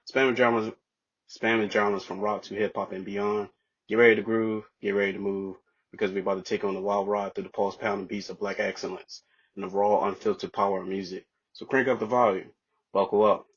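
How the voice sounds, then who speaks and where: A man's voice: lowish voice, breathy edge. A man hosting a podcast, opening with a hyped intro and then asking casual interview questions, repeating catchphrases. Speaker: podcast host. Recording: dull lo-fi mic.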